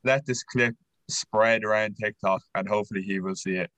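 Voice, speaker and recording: A man's voice: lowish voice, fairly even intonation, normal speed, a bit bright, no echo. A young man co-hosting a podcast in loose, sweary casual chat. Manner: loose and sweary, laughs often. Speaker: podcast co-host.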